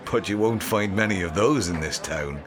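A man playing a sly, smooth-talking hangman selling a grisly talisman with theatrical salesman's patter in a radio drama. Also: low voice, normal speed, crowd noise, natural voice. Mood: sly, wheedling.

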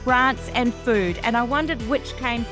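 A woman's voice: on the high side, measured pace, music in the background. A woman hosting a podcast, putting questions to a guest in a conversational interview.

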